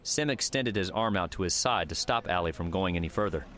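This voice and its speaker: A man's voice: deep voice, expressive, brisk, fairly bright tone, slight background noise. A man reading scripted audiobook narration as the storyteller.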